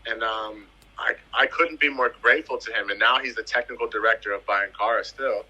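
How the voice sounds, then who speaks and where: A man in his thirties from the US Virgin Islands tells his football story in a relaxed interview. His voice is very bright and edged with husk, and he leans on repetition for emphasis. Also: lowish voice, a little fast, breathy edge, muffled recording. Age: 30s. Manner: animated, emphatic, repeats phrases for effect. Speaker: footballer guest.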